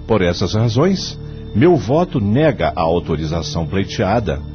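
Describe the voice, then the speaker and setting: An actor playing a principled, devout judge in a Brazilian radio drama, speaking formally and oratorically with rhetorical questions. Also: male voice, on the low side, animated, unhurried. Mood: solemn, impassioned. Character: eloquent male judge and mentor, devout, firmly principled. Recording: dull lo-fi audio, faint music.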